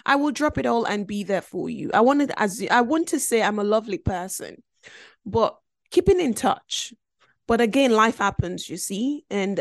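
A woman's voice: mid-range voice, no room sound. Chatty woman, close and dry. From Nigeria, later lived in Belgium. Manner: chatty, emphatic, repeats phrases for emphasis. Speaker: podcast host. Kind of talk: casual, reflective personal monologue.

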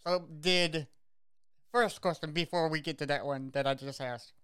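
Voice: medium pitch, moderate pace, a bit bright, clean tone, no echo, studio quality. An interview host asking rambling, loosely worded questions in a casual conversation. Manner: rambling, filler-heavy questions.

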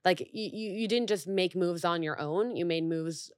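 A woman's voice: lowish voice, normal speed, a little mellow, no echo, studio quality. A young woman hosting an interview podcast, chatting casually with lots of fillers. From grew up in Mexico and the U.S. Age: young adult. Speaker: podcast host.

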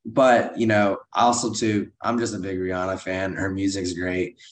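Low, slightly hoarse American man, roomy sound. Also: level tone, normal speed. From United States. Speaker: co-host of a film and TV review show. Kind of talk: upbeat podcast chat. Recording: roomy echo.

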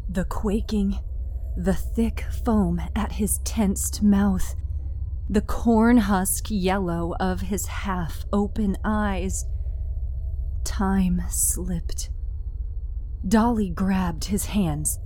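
Very slow, suspenseful woman narrator. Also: mid-range voice, dark tone. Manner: deliberate, suspenseful phrasing. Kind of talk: dramatic scripted horror fiction narration.

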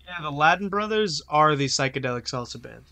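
Young male host with a higher voice; eager, explanatory music-fan talk. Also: expressive, brisk, sharp tone. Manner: enthusiastic, explains song meanings. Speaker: podcast host. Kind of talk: casual music chat.

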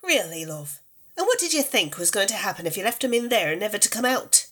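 Woman as Irish villainess, mind manipulator, scornful, animated intonation, audio drama. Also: mid-range voice, fairly quick. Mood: cool, scornful.

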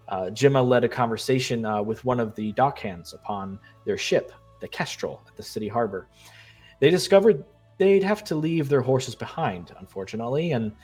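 Man with a cold narrating a campaign recap. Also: mid-range voice. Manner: steady storytelling with frequent "uh" and "um" fillers. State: getting over a cold, a bit nasal. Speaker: game master and host. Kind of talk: show intro and recap narration.

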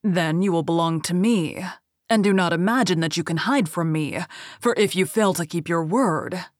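A woman reading aloud as a furious male beast in a fairy tale, stern and grim. The voice is pitched on the low side and stays commanding. The sound is close and dry. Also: normal speed, no echo, natural voice.

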